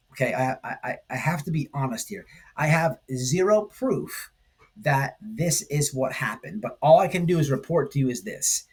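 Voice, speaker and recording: A man hosting a commentary stream, talking casually and haltingly, repeating phrases for emphasis. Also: fairly high pitch, breathy edge. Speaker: host of a livestream commentary channel. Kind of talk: casual rambling drama commentary.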